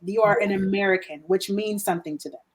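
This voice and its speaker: A woman from Guyana speaking as a guest in a casual podcast conversation, animated, with emphatic repeats and frequent laughs. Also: mid-range voice, fast talker, crowd noise, low-quality recording.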